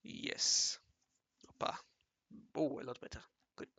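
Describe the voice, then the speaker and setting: A man with a raspy voice talking casually to himself while he draws, mumbling and correcting himself as he goes. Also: slow, pushed and bright, dry recording. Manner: mumbling and self-correcting, often murmuring half to himself. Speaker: hobby artist narrating his drawing session. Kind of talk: casual think-aloud commentary while drawing.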